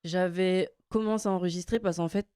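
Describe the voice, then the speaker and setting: A young woman from French Guiana talks about her music in a relaxed interview chat, laughing often between thoughts. Her voice is warm, smooth and dark-toned. The sound is dry and close. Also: fairly low pitch, a little fast, no room sound. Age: 20s. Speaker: guest singer.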